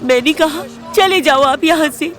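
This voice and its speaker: A woman's voice: high voice, unhurried, a bit bright. A woman playing a distraught, snappish young woman in a Hindi audio drama, mixing Hindi with English. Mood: tearful, bitter.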